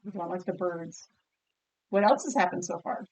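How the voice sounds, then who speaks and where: A woman teacher reading a picture book aloud expressively and pausing to ask listeners questions. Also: on the low side, darkish tone, low-quality recording. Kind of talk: storybook read-aloud with comprehension questions.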